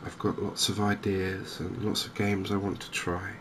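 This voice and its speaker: A man gives gentle, softly spoken ASMR commentary on a game, chatty and unhurried with hesitant pauses. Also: deep voice. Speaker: gaming video host. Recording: clear steady background noise and ambience.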